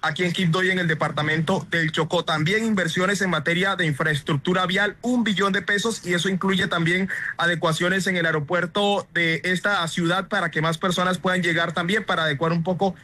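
A man from La Guajira, Colombia, delivering a live news report from the field in a clear, formal manner. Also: high-pitched, steady tone, brisk, sharp tone.

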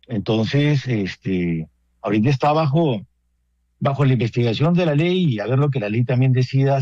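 Peruvian-accented male priest, radio guest, thoughtful, halting delivery, dull dry line. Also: medium pitch, darkish tone, dry recording. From Peru. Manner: halting, thoughtful, many restarts and fillers.

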